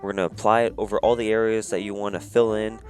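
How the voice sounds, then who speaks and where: Man, low voice, raspy from being sick, monotone, step-by-step tutorial voice-over, faint background music. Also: fast. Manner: plain step-by-step instructions, many "you're gonna". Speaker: cosplay prop maker, channel host.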